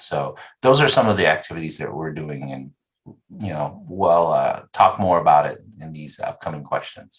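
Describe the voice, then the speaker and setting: A man raised in the United States, a nonprofit executive giving measured, explanatory interview answers. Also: rumbling low, expressive. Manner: measured, explanatory, uses "you know" and "right?" often.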